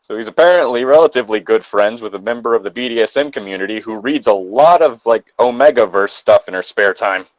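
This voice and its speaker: A man chatting casually and rambling through halting asides as he co-hosts a read-aloud show over a voice call. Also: fairly low pitch, dry recording, rough recording. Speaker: co-host of a fan fiction reading show. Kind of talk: casual banter and commentary while reading aloud. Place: dry, close setup on a remote voice call.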